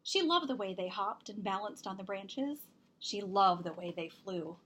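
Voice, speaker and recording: A woman reading a children's picture book aloud, expressively and deliberately, with emphasized words. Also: mid-range voice, lively intonation, a bit bright. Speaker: storybook reader and host.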